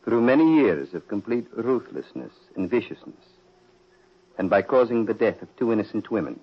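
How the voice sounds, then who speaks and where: An old, muffled radio mystery recording carries a low male voice. a devilish aristocrat, urbane and unhurried, who speaks with sinister, mocking courtesy in the theatrical manner of forties radio. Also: lively intonation, husky. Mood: coolly menacing. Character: suave sinister prince, the Devil in gentleman's guise. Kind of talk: 1940s radio mystery acting.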